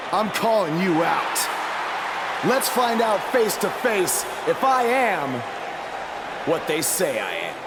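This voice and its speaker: High-pitched, deliberate wrestler hero. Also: male voice, full character voice. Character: defiant young male pro wrestler hero. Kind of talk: scripted wrestling promo in a video game. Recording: loud crowd noise in arena.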